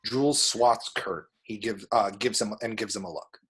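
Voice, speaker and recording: A man's voice: lowish voice, steady tone, normal speed, fairly bright tone. A man reading screenplay action and scene headings as narration for an amateur table read. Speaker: reader of screenplay action description.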